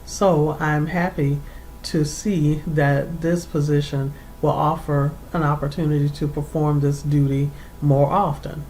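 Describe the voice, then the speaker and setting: Career coach for an advice segment: medium pitch, formal and deliberate, semi-scripted instruction, slight rumbling background noise. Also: little pitch movement, a little mellow, poor audio.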